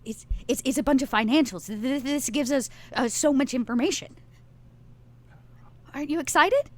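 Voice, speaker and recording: A woman's voice: high voice, normal speed. A woman playing a tabletop roleplaying game, talking casually and improvising in an eager, restless way.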